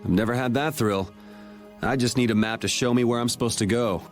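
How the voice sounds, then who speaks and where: A man's voice: medium pitch, fairly quick, lightly acted. An actor playing a blunt, sarcastic young swordsman in a game cutscene. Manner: dry, sarcastic, laid-back. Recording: game audio with music behind.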